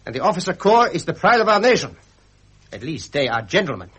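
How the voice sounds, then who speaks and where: An actor playing an arrogant young Prussian prince, boastful, stiff and goading, in period radio drama style. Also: male voice, sits high, big pitch swings, brisk, fairly bright tone, a little hiss or hum. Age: young adult. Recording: muffled vintage radio recording.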